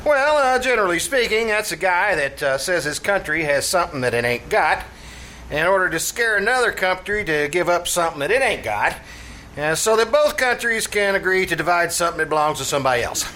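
A man's voice: high voice, expressive, brisk, sharp tone, low-quality recording. An actor playing a scheming, blustering tavern manager who mangles his English in a folksy, pompous way, in old-time radio comedy style. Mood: instructing. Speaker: troupe actor. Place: radio theater stage. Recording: live stage show, faint noise.

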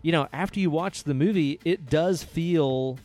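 Quiet-voiced man chatting on a podcast. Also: on the high side, no echo. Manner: relaxed, swears casually, drawn-out emphasis. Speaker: podcast co-host. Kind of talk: casual podcast chat.

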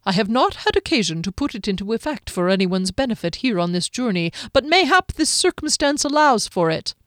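A smug, courtly halfling man from a fantasy audiobook, acted out by a woman. The voice sits high and is delivered close and dry. Every phrase is ornate, archaic and grandly polite. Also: big pitch swings, fairly quick, no echo. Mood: thoughtful. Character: smug, courtly, flowery-tongued male halfling trickster.

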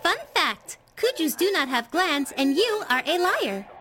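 Small robot companion for sci-fi comedy drama, extremely high and animated, literal, chipper. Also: female voice, a little slow, music in the background, full character voice. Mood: chirpy, informative. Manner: cheery, matter-of-fact recital of facts. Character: cheerful small puppy-cat robot companion, literal and chirpy.

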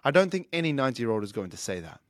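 A man's voice: medium pitch, very animated, racing, no echo, clean studio sound. A man in his thirties hosting his own show, talking through life lessons in an earnest, reflective way, often restarting his sentences. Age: 30s. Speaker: podcast host, fitness coach. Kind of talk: casual monologue.

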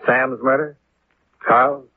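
An American man playing a terse, world-weary insurance investigator in a 1950s radio detective drama, speaking in a clipped, deadpan way. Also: medium pitch, takes it slowly, husky, dry recording. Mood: probing, accusing. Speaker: lead radio actor and narrator. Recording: muffled, narrow-band vintage 1950s radio.

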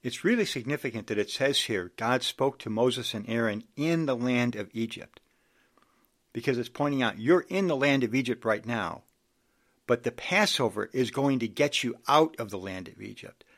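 Gravelly older guy giving a sermon, earnest and exhorting, reads verses out. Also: medium pitch, expressive, moderate pace, a bit bright. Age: 60s. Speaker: preacher.

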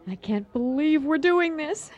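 Brief: coy, feisty female restaurateur, theatrical and playful, highish animated voice, radio comedy. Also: measured pace, dull audio. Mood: coy, conspiratorial. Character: feisty, coy woman restaurateur plotting petty revenge. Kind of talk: radio comedy drama acting.

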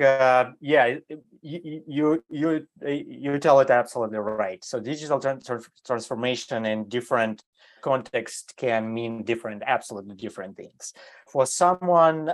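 Interview guest, man, grew up in Germany; mid-pitched, halting English, lots of fillers. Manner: hesitant non-native English, many fillers and restarts. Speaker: design entrepreneur, guest. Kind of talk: interview answers. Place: California.

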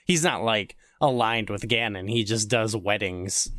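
A man's voice: lowish voice, a little slow, a bit bright, no room sound, clean studio sound. A man co-hosting a podcast in casual, joking conversation. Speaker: podcast co-host.

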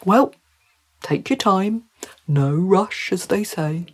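A woman voicing a polite, kindly male talking trout in a storybook, with courteous, old-fashioned, unhurried speech. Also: mid-range voice, lively intonation, husky. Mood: gentle, generous.

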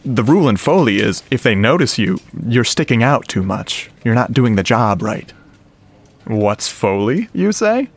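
Chatty, enthusiastic radio audio geek. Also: male voice, medium pitch, big pitch swings, unhurried, slightly rough, heavily acted. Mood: casual, eager. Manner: casual, explanatory, rhetorical questions to listener. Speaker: actor in a radio drama. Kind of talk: conversational radio drama monologue.

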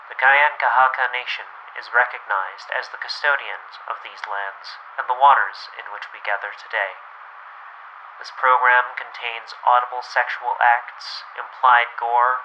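A male announcer reads a formal script in a very sharp, forceful voice. The recording is slightly lo-fi, with faint noise behind him. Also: mid-range voice. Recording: dull lo-fi track, faint noise.

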